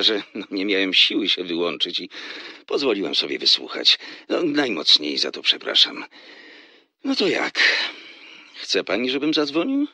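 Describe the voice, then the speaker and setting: A polite, nosy gentleman in a radio comedy, formal and eager to oblige. The voice is low and husky, with lively swings in pitch. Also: no echo, full character voice. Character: courteous, nosy gentleman eavesdropper, smoothly obliging. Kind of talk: comic radio drama acting.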